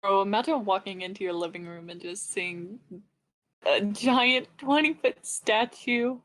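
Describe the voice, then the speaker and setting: A woman's voice: medium pitch, unhurried, fairly bright tone. A woman chatting casually as a co-reader on a stream. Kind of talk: casual chat.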